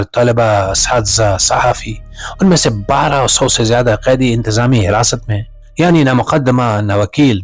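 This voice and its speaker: A man delivering scripted dramatic narration as a host, in a solemn, impassioned and rhetorical manner. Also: mid-range voice. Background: clear music bed under the voice.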